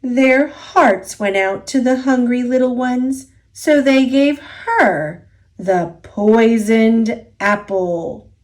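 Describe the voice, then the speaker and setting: A woman reading a children's picture book aloud as a storyteller, expressive and deliberate, drawing out key words. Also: on the high side. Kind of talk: children's story read-aloud, scripted narration.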